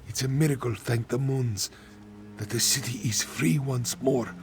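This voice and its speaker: An actor playing a weary, grateful wounded soldier in a fantasy game, speaking in an earnest, measured way. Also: male voice, mid-range voice, over music, natural voice.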